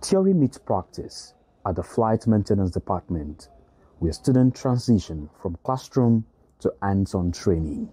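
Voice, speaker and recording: A man's voice: lowish voice, very animated, unhurried, mellow, slightly rough, low-quality recording. A man with a Nigerian accent narrating a scripted news feature as a reporter, with background noise.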